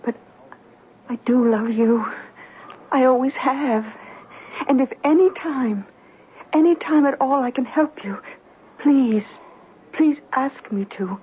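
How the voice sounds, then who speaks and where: An American actress playing a tender young small-town sweetheart, halting and emotional, in old-time radio drama style. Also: female voice, high-pitched. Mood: tender, pleading. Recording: tinny, hissy vintage radio broadcast.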